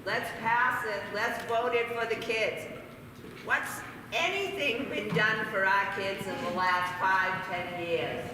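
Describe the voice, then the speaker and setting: A man's voice: sits high, slow. A man speaking at a public school board meeting, emphatic and indignant, repeating his points. He is heard from a floor mic that partly cuts out. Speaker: resident speaking at public comment. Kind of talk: impassioned public comment. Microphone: floor mic, partly cut off, heard from far. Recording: echoey hall from far off, noisy.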